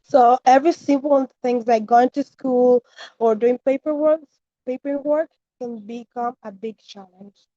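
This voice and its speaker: A teenage girl from the Dominican Republic speaks earnestly and steadily about her own experience. Her voice sits a little high but sounds warm and mellow. The sound is dull and lo-fi, like an online call. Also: fairly even intonation, unhurried, dry recording. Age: teens. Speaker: student. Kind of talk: class discussion answer. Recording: muffled lo-fi online call audio.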